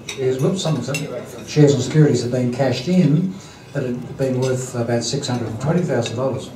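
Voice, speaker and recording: A man hosting a lunch event, giving a halting formal introduction full of ums and throat clearing. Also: medium pitch, a crowd in the background. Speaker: event host introducing a speaker. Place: dining hall at a lunch event. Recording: roomy hall, distant mic, clattering dishes.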